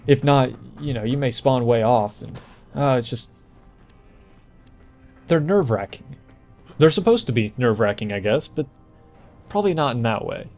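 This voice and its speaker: A man's voice: mid-range voice, animated, normal speed, long pauses, darkish tone, soft background music. A man doing casual, conversational gameplay commentary, explaining things as he plays, over game ambience. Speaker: gameplay walkthrough host. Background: video game ambience.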